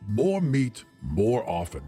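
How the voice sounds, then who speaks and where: A man playing a sly, courtly wandering priest in a tabletop game, bargaining in a husky, formal, persuasive way. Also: mid-range voice, huge pitch swings, takes it slowly, mellow, soft background music, full character voice. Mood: tempting.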